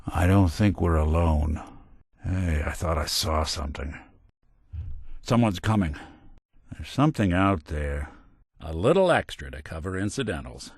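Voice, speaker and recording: An old synthetic male detective from a noir-flavored video game, American and world-weary. The voice sits on the low side but moves with lively, expressive swings. He wisecracks in a hard-boiled, sarcastic drawl, recorded very dry with no room around it. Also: unhurried, pauses often, husky, dry recording, exaggerated voice. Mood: concerned, alert.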